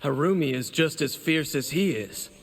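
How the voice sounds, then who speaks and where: A man's voice: fairly high pitch, expressive, normal speed, big-room sound, faint music behind, lightly acted. An actor playing a cocky, teasing young male fighter in a video game, confident in manner. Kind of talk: video game character voice acting.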